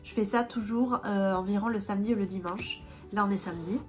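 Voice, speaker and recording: A woman's voice: mid-range voice, a little fast, faint music behind. Chatty woman with a head cold. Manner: chatty, many fillers and restarts. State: stuffy, has a cold. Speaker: vlogger and independent language teacher. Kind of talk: casual vlog chat.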